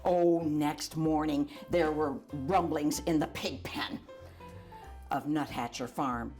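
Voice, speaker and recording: An older woman reads a children's storybook aloud in an animated, chatty way, adding asides for young listeners. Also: sits low, slow, faint music behind. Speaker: volunteer storyteller.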